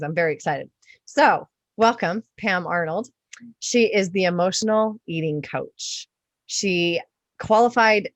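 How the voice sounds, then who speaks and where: A woman podcast host with a slightly low voice, speaking casually and with effusive emphasis. She sounds enthusiastic as she interviews, in a dry recording with very little room sound. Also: no room sound.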